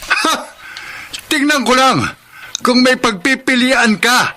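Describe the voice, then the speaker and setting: An actor playing Simon, a tired working father and van driver who argues with his wife and then plots in hushed tones with a friend. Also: extremely high pitch, slow pace, exaggerated voice. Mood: defensive. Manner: tired then argumentative, later hushed and conspiratorial. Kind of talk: classic radio drama acting. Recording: noisy old radio drama recording.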